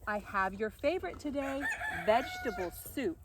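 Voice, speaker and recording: A woman's voice: a little high, very animated, normal speed, noisy background. A woman voicing a concerned, exasperated mother goat in a storybook, speaking in an emphatic, fretful way.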